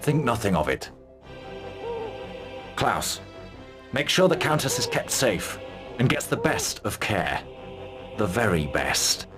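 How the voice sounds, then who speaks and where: An actor playing a stern, honourable army commander in a fantasy game, speaking in a formal, commanding, terse manner. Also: male voice, fairly low pitch, big pitch swings, takes it slowly, long pauses, over music. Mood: gallant, resolute. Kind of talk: fantasy video game cutscene acting.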